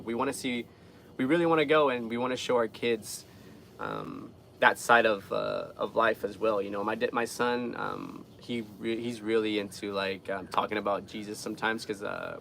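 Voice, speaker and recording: Gravelly, earnest man chatting casually. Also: on the low side, a bit bright, a little hiss or hum. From Guatemala. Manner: earnest, rambling, informal. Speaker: live streamer. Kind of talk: casual live chat with viewers.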